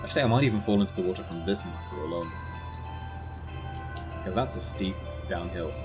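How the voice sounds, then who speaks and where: Low-voiced man streaming a game over music. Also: fairly quick. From Netherlands. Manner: casual, chatty, answers chat and laughs at his own mishaps. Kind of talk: live gameplay commentary.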